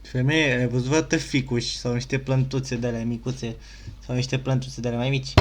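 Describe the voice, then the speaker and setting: A man's voice: mid-range voice, flat delivery, brisk. Blunt Romanian man commenting over gameplay. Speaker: game streamer.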